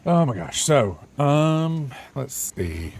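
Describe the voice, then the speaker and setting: A man streaming a game and chatting casually, his voice rough, crackly and hoarse, rambling and changing his mind mid-sentence. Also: medium pitch, slow. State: hoarse, sore throat. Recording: steady background noise.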